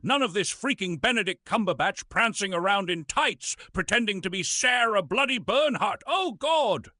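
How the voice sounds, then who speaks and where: A man's voice: high voice, expressive, normal speed, sharp tone, no room sound, studio quality. A man playing a pompous, bitter old Shakespearean actor with a British accent, reading aloud in a grandly theatrical manner. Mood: bitter, indignant. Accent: British English.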